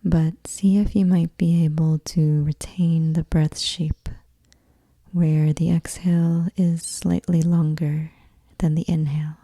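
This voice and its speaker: A woman guiding a meditation in a soft, breathy near-whisper, with soothing, measured delivery. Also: low-pitched, little pitch movement, dry recording. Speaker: yoga nidra meditation guide. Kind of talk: guided meditation.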